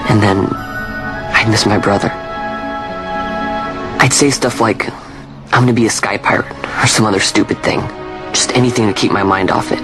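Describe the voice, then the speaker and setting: A young man plays an earnest young adventurer, open and plain-spoken. His voice sits a little low, and a film score plays beneath him. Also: fairly even intonation, moderate pace, long pauses, music in the background, close to an everyday voice. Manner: plain, searching, earnest talk. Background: orchestral score.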